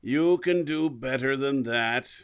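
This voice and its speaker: A man playing a secretive, commanding, guilt-burdened mentor in an audio drama, speaking deliberately and cryptically. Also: medium pitch, clean tone, natural voice. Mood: calm, coaxing. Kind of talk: audio fiction podcast acting.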